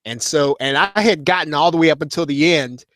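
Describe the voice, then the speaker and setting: A man's voice: fairly high pitch, a little fast, a bit bright, no echo, clean studio sound. A man in his forties hosting an online show, talking casually to his viewers and rambling with many fillers and restarts. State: losing his voice. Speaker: host of an online marketing show. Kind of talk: casual live-stream talk to viewers.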